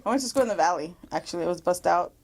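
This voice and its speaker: A woman guest from Mexico, chatting casually in an interview, animated and laughing often. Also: fairly low pitch, very fast. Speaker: guest actress.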